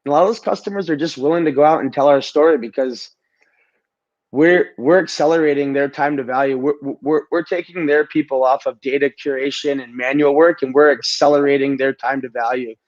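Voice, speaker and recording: A man with a raspy voice from a recent cold, talking casually as a guest and often repeating words as he starts sentences. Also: mid-range voice, brisk, lo-fi sound. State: recovering from a cold. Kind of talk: casual interview talk.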